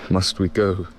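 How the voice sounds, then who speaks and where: An actor playing a frail, dying old king in an audio drama, weary and wry, with halting speech. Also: deep voice, pushed and bright, slight background noise, exaggerated voice. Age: older.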